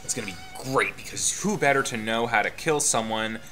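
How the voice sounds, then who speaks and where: A man's voice: lowish voice, fairly quick, bright, forceful tone. A man reading a story game aloud in a playful, dramatic way, with casual joking asides. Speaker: gaming channel player reading the game aloud. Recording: music playing behind the voice.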